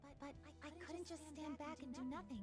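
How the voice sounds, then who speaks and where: A woman's voice: sits high, fairly even intonation, a little fast, darkish tone, lightly acted. An actress playing a polite, earnest teenage schoolgirl in an anime game, with a formal and slightly hesitant manner. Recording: game music behind the voice.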